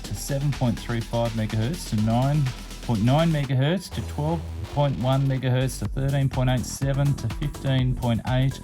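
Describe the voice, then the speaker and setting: A man giving an informal, unscripted step-by-step product demonstration as a hobby reviewer. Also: fairly low pitch.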